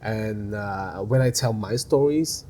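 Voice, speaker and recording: A man with a Vietnamese accent talks earnestly as a podcast guest. His voice sits fairly low and mellow. He often repeats words while he searches for the next one. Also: takes it slowly, slight background noise. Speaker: animation studio head, guest. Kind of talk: podcast interview.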